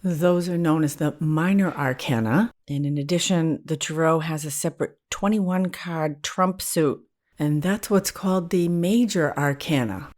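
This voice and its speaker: Low-pitched American woman, candid podcast host. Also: unhurried, a little mellow. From New England, United States. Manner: candid, self-deprecating, informal. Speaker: podcast host, therapist and spiritual teacher. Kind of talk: conversational solo podcast monologue.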